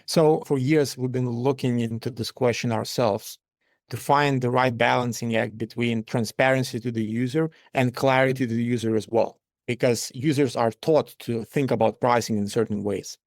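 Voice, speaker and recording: A man's voice: medium pitch, steady tone, no echo, clean studio sound. Man, matter-of-fact. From Lithuania. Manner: measured, matter-of-fact explaining. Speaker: company founder and CEO, guest. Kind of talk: business interview answers.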